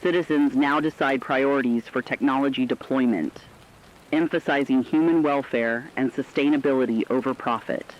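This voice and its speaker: A man's voice: mid-range voice, fairly even intonation, normal speed, natural voice. Muffled actor as earnest male radio co-anchor. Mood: earnest, hopeful. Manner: formal, earnest. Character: radio co-anchor reporting hopefully on a rebuilding world. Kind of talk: scripted news broadcast narration.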